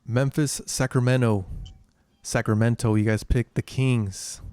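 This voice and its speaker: A man's voice: medium pitch, steady tone, a little slow, a little mellow, smooth, no echo. A man co-hosting a sports podcast, casually and somewhat hesitantly recapping betting picks.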